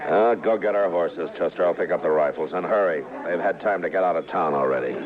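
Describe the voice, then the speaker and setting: An actor playing a steady, stern frontier marshal in an old radio western, terse and dry with quiet authority. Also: male voice, low voice, brisk, a loud crowd, lightly acted. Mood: urgent. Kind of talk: 1950s radio western acting and narration. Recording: old radio recording, narrow band, loud noise.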